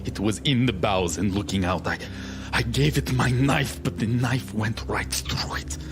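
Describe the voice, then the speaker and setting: An actor playing a haunted, superstitious ship's mate in a gothic audio drama, intense and halting. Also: male voice, on the low side, over music, lightly acted. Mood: hushed dread.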